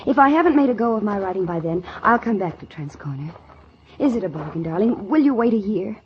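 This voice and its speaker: A woman's voice: mid-range voice, expressive, quick pace, slight background noise, close to an everyday voice. An actress playing an earnest, ambitious young country woman in a 1940s radio drama, speaking in a dramatic, wistful and emphatic way. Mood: pleading. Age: young adult. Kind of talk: 1940s radio drama acting and first-person narration. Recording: muffled old 1940s radio recording.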